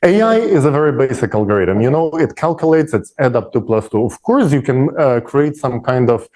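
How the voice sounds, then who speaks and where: Mellow-voiced man explaining earnestly. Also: mid-range voice, expressive, a little fast. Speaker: panel speaker. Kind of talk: explanatory panel discussion.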